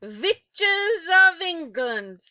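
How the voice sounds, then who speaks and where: A woman voicing a furious, imperious witch leader, shouting in a drawn-out, contemptuous way for a storybook. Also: extremely high pitch, sing-song, no room sound, pitched above the performer's own voice, full character voice. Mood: furious, commanding. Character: furious, imperious female witch leader addressing her followers.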